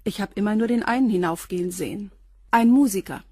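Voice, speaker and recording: An actress playing a reserved, respectable concierge of about forty, guarded and matter-of-fact in a radio crime drama. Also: female voice, medium pitch, brisk, mellow, breathy edge, dry recording, close to an everyday voice.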